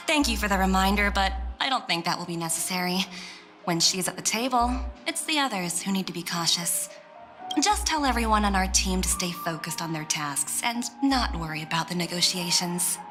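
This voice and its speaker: A woman voicing a shrewd, confident corporate director in a video game, composed and knowing, with quiet authority. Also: mid-range voice, expressive, normal speed, natural voice. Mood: knowing, firm. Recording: faint music behind.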